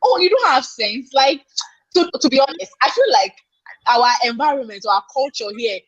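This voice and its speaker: A woman's voice: high voice, animated, normal speed, husky, no echo, highs cut off. A Nigerian woman hosting a casual live podcast, chatty, reading out viewer comments and exclaiming. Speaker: podcast host.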